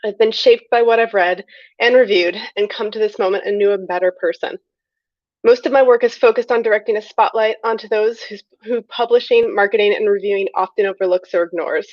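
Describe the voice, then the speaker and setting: A woman's voice: medium pitch, a little fast, dry recording. A woman reads out a prepared acceptance speech. Her delivery is earnest and emphatic, with formal wording. The recording is very dry and a little dull, without room sound. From United States. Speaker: award winner, critic and librarian.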